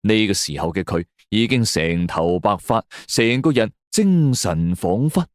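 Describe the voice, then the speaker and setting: A man's voice: lowish voice, lively intonation, measured pace, no echo, clean studio sound. A man with a Hong Kong Cantonese accent narrating a scripted suspense story in a theatrical, suspenseful storyteller's manner. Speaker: audio drama narrator. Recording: music bed under the voice. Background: background music.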